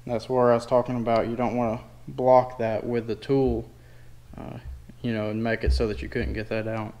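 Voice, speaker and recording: A man's voice: medium pitch, level tone, moderate pace, a little mellow. A plainspoken man narrating a hands-on mechanical tutorial in a casual, informal way, as a DIY mechanic in a garage. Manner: plainspoken, informal, folksy wording. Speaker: DIY mechanic demonstrating a tool. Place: indoor garage workshop.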